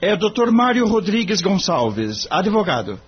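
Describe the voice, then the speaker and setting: An earnest young lawyer played by a man in an audio drama, courteous and thoughtful. The voice sits fairly high and moves freely up and down, on a dull recording with a faint hum. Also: very animated, unhurried, breathy edge, exaggerated voice. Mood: earnest polite. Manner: polite, thoughtful.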